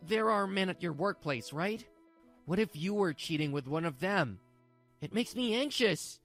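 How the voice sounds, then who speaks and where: A woman's voice: on the low side, expressive, moderate pace, soft background music. A woman voicing a self-pitying, evasive young husband in a dubbed manga drama, stammering and pleading his excuses. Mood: defensive pleading.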